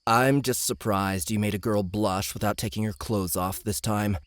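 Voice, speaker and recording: A man's voice: on the low side, fairly even intonation, moderate pace, no room sound, close to an everyday voice. A man voicing a cocky, talkative young male adventurer with a teasing, jeering manner in an audiobook. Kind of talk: audiobook character acting.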